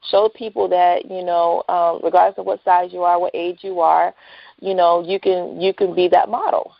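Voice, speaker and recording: A woman's voice: fairly low pitch, flat delivery, moderate pace, gravelly, dry recording. A woman chatting casually as a guest in a phone interview. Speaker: interview guest, writer and entrepreneur. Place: phone call. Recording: dull lo-fi phone line.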